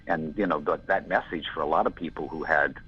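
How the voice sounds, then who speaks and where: A man in his sixties with a gravelly, gruff voice, giving a rambling, reflective interview answer with lots of fillers. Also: rumbling low, fairly quick. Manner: rambling, reflective, many "you know" fillers. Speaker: interview guest, filmmaker. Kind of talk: casual conversational interview. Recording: dull lo-fi audio.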